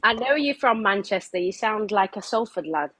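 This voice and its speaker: Husky woman with a Manchester accent. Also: mid-range voice, animated, moderate pace, a bit bright, no echo. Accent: Mancunian. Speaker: panel participant. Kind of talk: casual argument.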